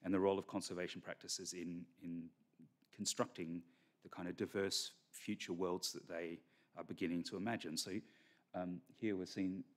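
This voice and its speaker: Deep-voiced man giving a lecture. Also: level tone. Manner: measured, with frequent fillers and restarts. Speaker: keynote speaker. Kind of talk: academic conference lecture. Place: large conference hall stage. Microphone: podium microphone.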